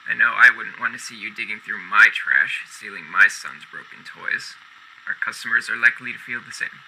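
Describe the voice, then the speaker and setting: A stern male boss in an old-time radio play. His voice is piercingly forceful and on the low side, curt, formal and disapproving, with faint noise behind it. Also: moderate pace, rough recording. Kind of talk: golden-age radio drama acting.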